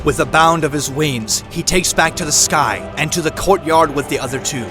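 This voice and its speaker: A man narrates an audio drama in a scripted, dramatic style. His voice sits somewhat high, with clearly audible music underneath. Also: normal speed.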